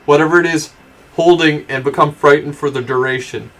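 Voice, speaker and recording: A man's voice: fairly high pitch, fairly even intonation, fairly quick, a bit bright, low-quality recording. A man talking casually as a player at a tabletop role-playing game. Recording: noticeable background noise with clicks.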